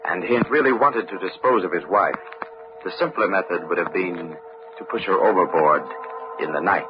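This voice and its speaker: A man's voice: low-pitched, normal speed, muffled recording. A man giving scripted dramatic narration as the storyteller and announcer of an old-time radio mystery. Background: music.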